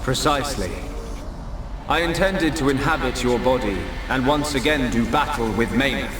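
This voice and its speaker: A man voicing an arrogant creator god in a game cutscene, pompous and condescending in measured speech. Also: mid-range voice, music in the background, close to an everyday voice. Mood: lofty, contemptuous. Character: arrogant godlike creator, male, serene and contemptuous. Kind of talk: dramatic game cutscene acting. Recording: echoing voice under loud score.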